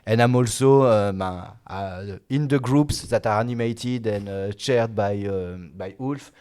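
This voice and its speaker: A man, a guest expert in an interview, speaking haltingly with many "uh"s and restarts. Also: on the low side, a little mellow, clear, resonant tone. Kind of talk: casual interview talk.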